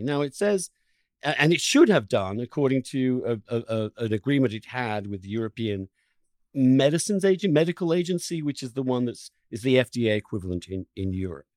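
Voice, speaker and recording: A man's voice: medium pitch, big pitch swings, brisk, darkish tone, no room sound. Emphatic male interviewer, dry close sound. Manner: emphatic, piling up adjectives and repeating words for stress. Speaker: podcast host interviewing a guest. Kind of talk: impassioned conversational interview.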